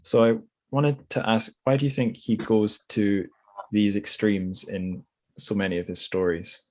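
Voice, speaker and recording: Male podcast host with a fairly low voice; thoughtful, halting, informal interviewing style that rambles a little. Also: steady tone. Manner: hesitant, rambling, thinking aloud. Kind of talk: conversational literary interview.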